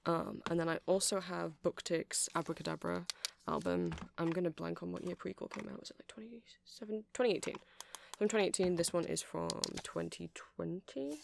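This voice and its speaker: Nerdy vampire record-shop clerk played by a man, friendly and chatty, mid pitch with gravelly fry, ASMR roleplay with faint background noise. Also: lively intonation, normal speed, heavily acted. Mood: absorbed, nerdy. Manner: hesitant, chatty, nerdy explaining. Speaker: shopkeeper character in ASMR roleplay. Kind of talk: soft ASMR roleplay, improvised rambling.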